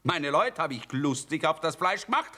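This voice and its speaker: An actor playing a bold, boastful young soldier, telling things with a swagger in a theatrical radio play. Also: male voice, on the high side, very animated, moderate pace, pushed and bright, exaggerated voice. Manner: swaggering storytelling.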